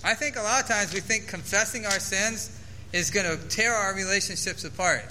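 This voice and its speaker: A man's voice: sits high, moderate pace, sharp tone, a little hiss or hum, poor audio. A man preaching a sermon to his church, earnestly reading scripture aloud and explaining it. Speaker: pastor.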